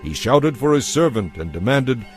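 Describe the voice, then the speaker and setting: A man's voice: medium pitch, sing-song, normal speed, over music. A man giving a solemn, scripted dramatic narration of scripture as storyteller.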